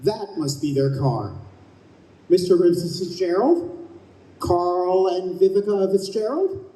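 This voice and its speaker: An actor in a live radio play voicing a breezy, businesslike escort of souls, matter-of-fact and officious. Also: male voice, sits high, expressive, unhurried, mellow, roomy sound, poor audio. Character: chipper, businesslike male heavenly escort for souls. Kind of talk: live old-time radio play acting. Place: live stage at a convention.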